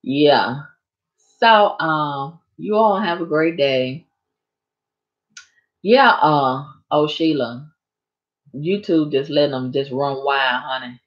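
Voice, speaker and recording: A woman chatting casually on a live stream to her viewers, rambling and a bit hoarse from talking so long. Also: deep voice, slow pace, pauses often, lo-fi sound.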